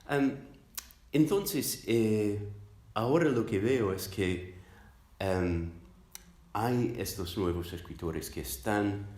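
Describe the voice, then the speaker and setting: A man from the United States, a native English speaker, answering interview questions as a scholar in a halting, thoughtful way, searching for words. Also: lowish voice, a little mellow. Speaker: interviewed academic, literature scholar. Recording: roomy, echoing sound.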